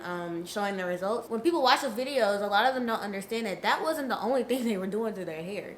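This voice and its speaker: A woman's voice: medium pitch, a bit bright, reverberant, a little hiss or hum. A young woman with a stuffy nose from a cold, giving frank, chatty hair care advice straight to her viewers. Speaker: natural hair vlogger. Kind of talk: casual advice vlog.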